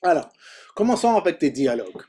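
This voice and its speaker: A man from Canada, a native English speaker with a mid-Atlantic English accent in his French, leading an informal teaching workshop and often pausing to search for words. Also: fairly high pitch, big pitch swings, dark tone, slight background noise. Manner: halting, searching for words in a second language.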